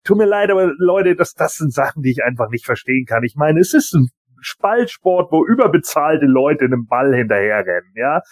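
A man co-hosting a podcast, chatting casually and colloquially with halting asides. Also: a little high, sing-song, no echo, studio quality. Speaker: podcast co-host. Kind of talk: casual anecdotal chat.

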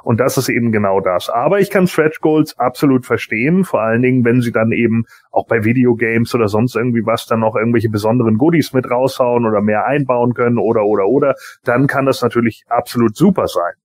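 Male panelist, medium-pitched voice, very dry recording, informal and colloquial chat. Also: brisk, few pauses, dry recording. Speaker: podcast panelist. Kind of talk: casual chat, personal opinions.

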